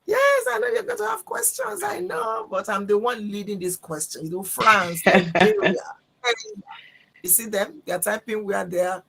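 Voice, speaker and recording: Gravelly, animated woman host. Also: medium pitch, moderate pace. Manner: effusive, emphatic, repeats phrases. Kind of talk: interview show hosting.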